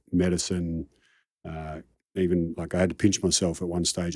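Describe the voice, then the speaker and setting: Australian man, middle-aged, low voice, rambling casual storyteller. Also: a little mellow, no echo, studio quality. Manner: rambling, self-deprecating, many restarts. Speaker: high-performance coach, guest.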